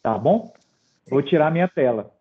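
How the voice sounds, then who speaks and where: A middle-aged man runs an online quiz from a home office, chatting loosely and teasing the audience. His voice sits a little high and comes through a narrow, phone-like video call line. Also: expressive, moderate pace. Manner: informal, hesitant fillers, jokes with audience. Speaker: presenter running a quiz. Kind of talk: online webinar talk.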